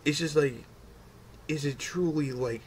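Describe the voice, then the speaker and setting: A man's voice: on the high side, measured pace. A man in a casual fan discussion, stumbling over and repeating his words and swearing now and then. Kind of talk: casual fan chat about comic book films. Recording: noticeable background noise, amateur recording.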